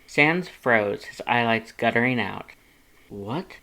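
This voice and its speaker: A man's voice: medium pitch, a little slow, fairly bright tone. A man reading scripted story narration as a fan fiction narrator.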